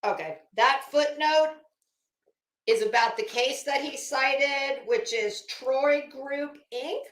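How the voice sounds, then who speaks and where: Slow-talking woman in an echoey room. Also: sits high, fairly bright tone. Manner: sardonic, theatrical, emphatic. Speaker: livestream host and commentator. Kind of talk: casual commentary with dramatic reading of a legal document.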